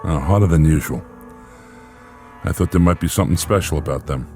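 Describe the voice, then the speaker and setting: Casting: a concerned American captain in a war radio play, very low voice, steady and questioning. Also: male voice, brisk, music in the background. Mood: concerned, probing. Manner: plain-spoken, calm, questioning. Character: steady, probing male army captain, concerned superior officer. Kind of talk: radio war drama dialogue.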